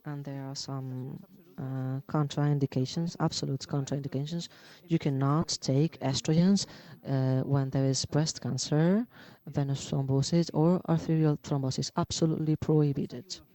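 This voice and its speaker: Halting man giving a medical lecture. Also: on the high side, level tone, warm tone. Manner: halting, many fillers and restarts. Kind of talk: conference lecture.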